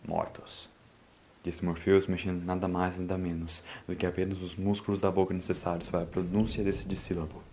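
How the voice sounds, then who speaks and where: A man's voice: deep voice, a little hiss or hum. A man with a Brazilian accent narrating a horror audiobook in a solemn, theatrical way. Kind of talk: dramatic scripted horror narration. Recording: loud music under the voice. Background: loud music.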